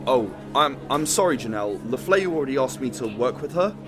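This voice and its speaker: A man's voice: mid-range voice, lively intonation, fairly quick, over music, close to an everyday voice. A man voicing a young male student who talks hesitantly with dry humor. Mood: defensive.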